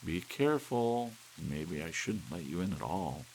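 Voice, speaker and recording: A man voicing a coquettish, excitable married woman in an audiobook, gushing and pleading. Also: low-pitched, unhurried, voice pushed up, heavily acted, poor audio. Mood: coy, teasing. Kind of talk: audiobook character voice. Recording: steady rain hiss behind.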